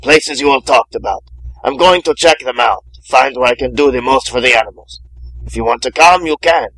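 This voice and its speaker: A man's voice: medium pitch, normal speed, fairly bright tone, dry recording, low-quality recording. Man voicing a blunt, driven young man. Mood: determined. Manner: blunt and matter-of-fact.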